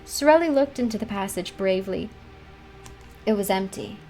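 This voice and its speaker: A woman's voice: medium pitch, lively intonation, moderate pace, a little mellow, faint music behind, low-quality recording. A woman reading a classic novel aloud as scripted bedtime story narration. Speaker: storybook reader.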